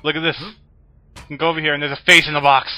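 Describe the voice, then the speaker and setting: A man's voice: a little high, level tone, quick pace, pushed and bright. A man doing casual, joking let's play commentary in a sarcastic, wisecracking way. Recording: noisy, with game audio behind. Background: video game ambience and gunfire.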